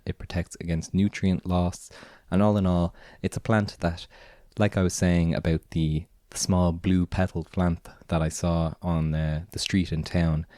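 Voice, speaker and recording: A man with an Irish accent hosting a podcast, raspy and hoarse from a cold, talking in a relaxed, meandering way with lots of filler words. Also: sits low, no room sound, studio quality. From Ireland. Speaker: podcast host and gardener. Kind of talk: casual spoken monologue.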